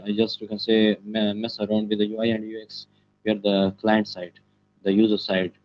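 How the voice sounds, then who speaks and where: A teenage boy from Pakistan whose first language is Pashto answers interview questions over a call. His voice sits low and flat, and he stops often for fillers and restarts. The call audio is muffled and lo-fi. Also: a little hiss or hum. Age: teens. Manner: halting, many fillers and restarts. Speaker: guest, student web developer.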